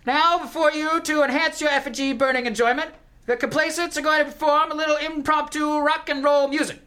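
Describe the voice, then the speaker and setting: Woman as a high, bright, officious announcer. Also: fairly even intonation, a little fast. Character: high-voiced, officious stage announcer introducing a rock band. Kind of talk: comedic radio play acting.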